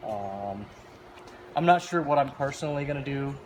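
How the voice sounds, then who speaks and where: A man's voice: mid-range voice, lively intonation, normal speed, slight background noise. A man giving casual, unscripted hands-on commentary, rambling a little as he thinks aloud while presenting as a hobbyist. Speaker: arcade machine hobbyist presenter.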